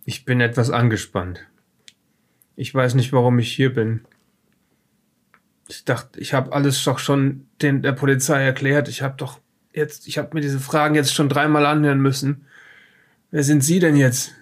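A man playing a tense, defensive occult professor under questioning, halting and testy in an improvised audio drama. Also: mid-range voice, little pitch movement, natural voice. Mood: irritated, tense.

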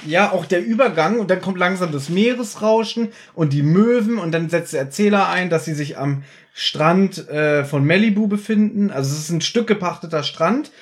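A man's voice: high-pitched, moderate pace, husky. A man hosting a podcast, chatting casually as he recaps an audio drama. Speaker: podcast host.

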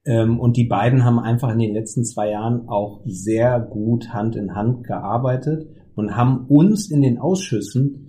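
Very dark-voiced man, halting with fillers. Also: mid-range voice. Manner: halting, many fillers and restarts. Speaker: committee lead of an association. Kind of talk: casual podcast conversation.

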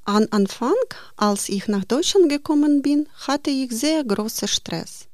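A woman's voice: high-pitched, expressive, measured pace, darkish tone, no room sound, clean studio sound. A woman in her forties from Ukraine, reading out a personal statement in careful learner's German. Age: 40s. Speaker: course participant.

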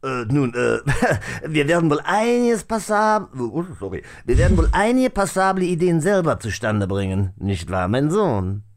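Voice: medium pitch, sing-song, normal speed. A performer voicing a jovial, pompous aristocratic count and father in a hammed-up children's radio play, formal and chuckling. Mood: hearty, fatherly. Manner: pompous, chuckling, formal old-fashioned phrasing.

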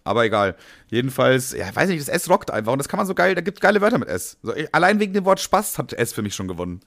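A man's voice: mid-range voice, big pitch swings, fast, gravelly, fairly bright tone. A young man co-hosting a podcast in casual, humorous chat, slangy and rambling. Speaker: podcast co-host.

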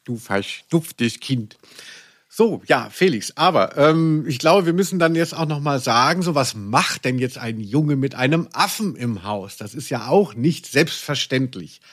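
A man's voice: medium pitch, lively intonation, unhurried, fairly bright tone. A man co-hosting a podcast, casually commenting on audio plays in a wry, mock-serious way. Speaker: podcast co-host.

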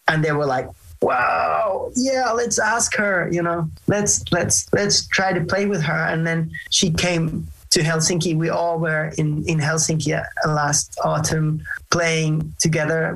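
A man from Finland with a Finnish accent, speaking English as a second language, giving relaxed, reflective interview answers with fillers and restarts. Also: fairly high pitch, vocal fry, poor audio. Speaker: band singer, interview guest.

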